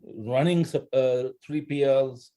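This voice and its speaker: A man with a lisp giving an informal guest talk on an online call in a conversational, halting way with rhetorical questions. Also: mid-range voice, fairly even intonation, dark tone. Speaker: guest speaker, supply-chain salesman. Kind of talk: informal presentation on a live call.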